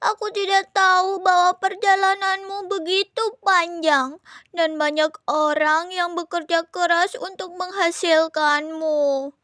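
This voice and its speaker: A woman's voice: extremely high pitch, little pitch movement, unhurried, fairly bright tone, no echo, clean studio sound, voice pushed up. A woman voicing a little girl in a children's story, speaking in an earnest, childlike way. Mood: apologetic. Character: cheerful little girl, curious, then sorry.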